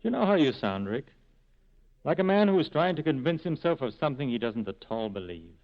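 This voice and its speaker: Solemn, noble resistance leader. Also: male voice, medium pitch, big pitch swings, fairly quick. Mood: grave, earnest. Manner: formal, solemn, eloquent. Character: noble, earnest male resistance leader, grave and idealistic. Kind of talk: 1940s radio drama acting. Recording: dull vintage radio recording.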